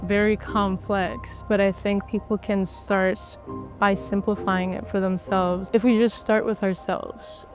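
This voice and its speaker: A woman from Iran speaking gently and reflectively in a documentary interview. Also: mid-range voice, level tone, measured pace, over music.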